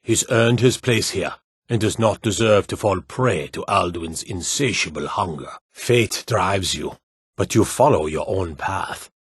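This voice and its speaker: A proud fantasy-game warrior of the north, speaks in a low, slightly rough voice. He declaims archaic heroic lines with solemn weight and a hunger for battle. The recording is dry and close. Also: measured pace, no room sound. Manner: grandiose, declamatory delivery. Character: bold Nordic warrior, proud, hearty, solemn and battle-hungry.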